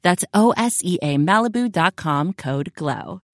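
Lively woman reading a skincare ad. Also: on the low side, measured pace. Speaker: advertisement voice-over. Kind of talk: polished scripted skincare ad read.

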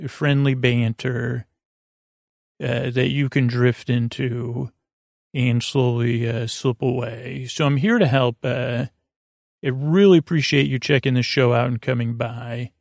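Male podcast host, gravelly and dry-sounding, wandering haltingly through sleep-aid monologue. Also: mid-range voice, no room sound. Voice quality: creaky, gravelly. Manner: meandering, halting, often trailing off mid-thought. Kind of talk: rambling bedtime monologue to help listeners sleep.

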